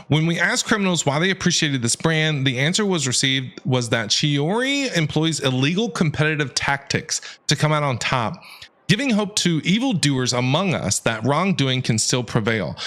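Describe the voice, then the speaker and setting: Man, game streamer, higher-pitched, very animated intonation, expressive read-aloud, casual asides. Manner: expressive, dramatic reading with casual slangy asides. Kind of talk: reading game text aloud with casual reactions.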